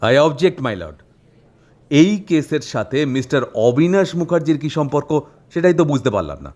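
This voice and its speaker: An actor playing an indignant prosecutor in a courtroom audio drama, objecting in a challenging, formal way. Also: male voice, on the high side, sing-song, normal speed. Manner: forceful, challenging. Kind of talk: Bengali audio-story courtroom acting.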